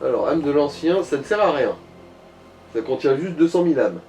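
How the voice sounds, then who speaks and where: A man's voice: on the high side, expressive, normal speed, a little mellow, faint music behind. A man giving casual gaming commentary, explaining things with offhand crude wit, in an echoing room away from the mic. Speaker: let's play co-host. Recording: roomy echo, distant from the mic.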